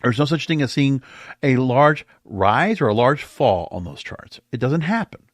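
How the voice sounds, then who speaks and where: A man's voice: mid-range voice, big pitch swings, unhurried, no room sound. A man hosting a solo podcast, giving opinionated commentary in an emphatic, rambling way and often repeating himself. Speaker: podcast host.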